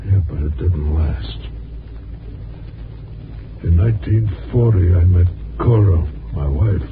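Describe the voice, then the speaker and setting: An actor playing a dying, world-weary newspaperman in a 1950s radio drama, speaking haltingly and looking back on his life. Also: low-pitched. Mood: wistful. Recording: muffled, noisy old radio recording.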